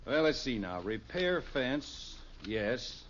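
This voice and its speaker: A man's voice: medium pitch, sing-song, takes it slowly, fairly bright tone, slight background noise. An actor playing a cheerful, self-assured middle-aged American father in a 1950s radio sitcom, lecturing in a folksy way. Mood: busily pleased. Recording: muffled old radio recording.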